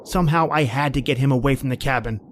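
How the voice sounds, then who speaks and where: A man's voice: medium pitch, fast, slight background noise, lightly acted. A man playing a haunted, panicky man stranded in polar cold, telling his ordeal in an old-time radio horror style. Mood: tense, fearful. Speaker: podcast host and actor.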